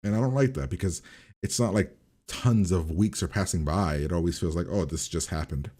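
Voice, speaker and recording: Low-voiced man rambling through a casual review. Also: brisk, warm tone, slightly rough. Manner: rambling, repeats and revises his points. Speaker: reaction video host.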